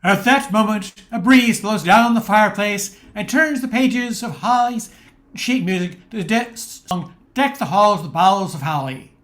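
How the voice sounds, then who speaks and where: An older woman narrates a radio play as its announcer. Her delivery is scripted and very animated, swinging widely around a middle pitch. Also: measured pace.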